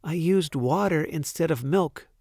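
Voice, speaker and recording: A man's voice: mid-range voice, animated, moderate pace, no room sound, clean studio sound, natural voice. A man voicing a humble, anxious village baker in a storybook, pleading and distressed. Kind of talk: storybook read-aloud character voice.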